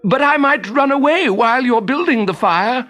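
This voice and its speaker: A crotchety, wily old wizard from a children's storybook. His voice sits high and swings widely as he grumbles and wheedles. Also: expressive, normal speed, fairly bright tone, soft background music. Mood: sly, gasping. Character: grumpy, cunning old male wizard.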